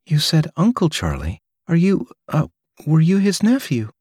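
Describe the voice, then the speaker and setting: A man's voice: on the high side, wildly swooping pitch, moderate pace, darkish tone, breathy edge, no room sound, clean studio sound, pitched above the performer's own voice, heavily acted. A man voicing a courteous young mother who speaks politely and a little apologetically in an audiobook. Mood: friendly. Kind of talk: audiobook character voice.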